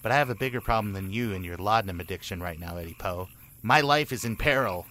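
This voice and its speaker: A man's voice: on the low side, lively intonation, fast, pushed and bright, a little hiss or hum. An actor playing a nervous, aggrieved boy in a comic radio play, speaking with earnest exasperation. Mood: anxious, exasperated.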